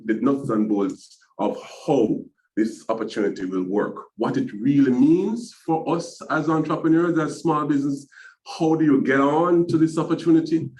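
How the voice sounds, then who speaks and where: A man hosting an online business panel, halting and restarting phrases with small chuckles. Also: medium pitch, darkish tone, roomy sound, poor audio. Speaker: webinar host, business agency executive. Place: video call.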